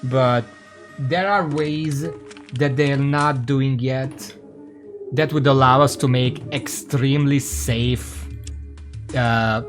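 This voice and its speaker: A man's voice: mid-range voice, fairly even intonation, slow, soft background music. A man from Hungary, giving casual, rambling, sweary live commentary as he streams a game and chats with viewers.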